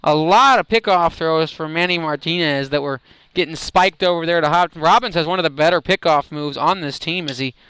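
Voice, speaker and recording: Dude doing baseball color commentary, bright voice, dry close sound, chatty. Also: male voice, a little high, brisk, clean tone, no echo, poor audio. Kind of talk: live sports commentary.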